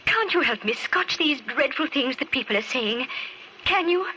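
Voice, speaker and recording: A genteel widow in an old radio play, her voice high and very lively in melody. She is sweetly anxious and coy, pleading in halting, theatrical phrases on a hissy vintage recording. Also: poor audio. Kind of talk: old-time radio drama acting.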